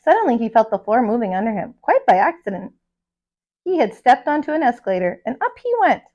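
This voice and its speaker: A woman who is a teacher and storyteller, reading a children's picture book aloud in an expressive, dramatic way. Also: fairly high pitch, a little fast, lo-fi sound, a little hiss or hum.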